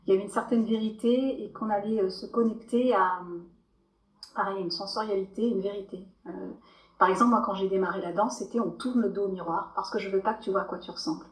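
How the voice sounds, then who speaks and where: A woman with a French accent, a podcast guest telling her story in a loose, animated way with many fillers and restarts. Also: mid-range voice, fast. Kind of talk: casual podcast interview. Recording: echoey room.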